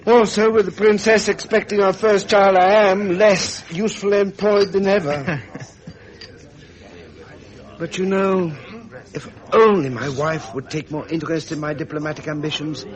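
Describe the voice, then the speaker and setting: An actor playing a frustrated young British prince in a radio drama, with an upper-class English accent and a declamatory manner. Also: male voice, high voice, fairly even intonation, moderate pace, natural voice, low-quality recording. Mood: animated, indignant.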